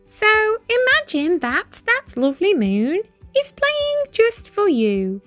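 Woman acting a little cricket, very high voice, gentle, wise, reassuring, unhurried, storybook character. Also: huge pitch swings, no room sound, soft background music, exaggerated voice. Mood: gentle, encouraging. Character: small kindly encouraging wise cricket.

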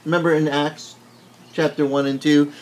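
A middle-aged man whose first language is Italian, preaching an animated teaching sermon indoors and asking the room questions. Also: fairly high pitch, normal speed. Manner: animated, gesturing broadly, asks the room questions. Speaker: pastor. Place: wood-paneled indoor room.

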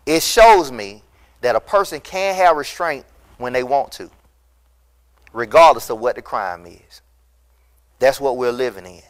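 A middle-aged man preaching a recorded sermon as pastor in an empty church hall, impassioned and emphatic, rising to loud peaks, on a lapel mic. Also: medium pitch, animated, a little slow, long pauses.

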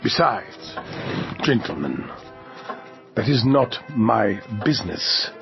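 A man acting a miserly, grumpy old businessman in a radio play, curt and scornful, with a gravelly voice. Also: on the low side, sing-song, takes it slowly, exaggerated voice. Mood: cold, curt. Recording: dull lo-fi audio with music behind.

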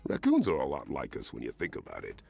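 A man's voice: a bass voice, wildly swooping pitch, fast, vocal fry, a little mellow, exaggerated voice. A man reading scripted promotional narration for a video game showcase, with music under his voice. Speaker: showcase presenter.

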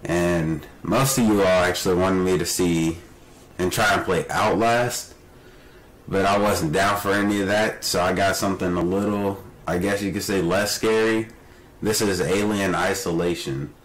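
A man's voice: low voice, moderate pace, rough recording. A man with a stuffy, congested nose, giving casual commentary as he plays a horror game. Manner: relaxed, chatty. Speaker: gaming youtuber. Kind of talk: casual let's-play commentary.

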